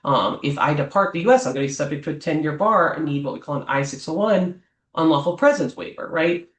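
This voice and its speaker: Man as an immigration lawyer explaining options; fairly high voice, loose off-the-cuff delivery full of "um" and "right?", recorded in a reverberant room. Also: lively intonation, quick pace, fairly bright tone. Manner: talks off the cuff, ends many points with a questioning "right?"; frequent "um" fillers and restarts. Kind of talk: explanatory advice talk to clients. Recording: roomy echo.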